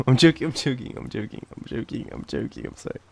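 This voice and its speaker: An Australian man with a cold speaks in a soft, halting, affectionate way, as in an intimate boyfriend roleplay. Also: mid-range voice, wildly swooping pitch, gravelly. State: sick with a cold. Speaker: audio roleplay performer playing an affectionate sick boyfriend. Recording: background noise of rain. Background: rain ambience.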